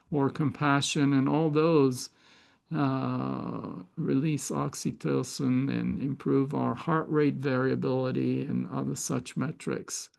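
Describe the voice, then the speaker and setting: A man's voice: fairly high pitch, gravelly, darkish tone. A man with a Hebrew accent, native Hebrew speaker, hosting a spiritual gathering and giving a reflective talk with frequent hesitations. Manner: thoughtful, with frequent hesitations and fillers.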